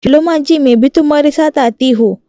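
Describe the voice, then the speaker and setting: A woman's voice: sits high, moderate pace, mellow, no room sound, close to an everyday voice. A woman voicing an earnest, frustrated young city daughter-in-law in a cartoon family story. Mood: helpful. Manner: earnest, aggrieved pleading.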